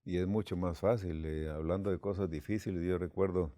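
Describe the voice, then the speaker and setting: A man's voice: sits low, steady tone, fairly quick, dark tone. A man from Guatemala, telling a personal story unhurriedly with quoted dialogue, as a church leader.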